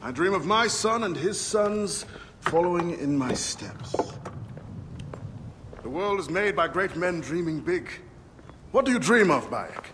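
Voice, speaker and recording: A man's voice: high voice, lively intonation, a little slow, pauses often, noisy background. A man playing a proud, ambitious, powerful father in a video game, with lofty, declamatory speech. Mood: proud, solemn. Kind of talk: dramatic video game acting. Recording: music behind the voice.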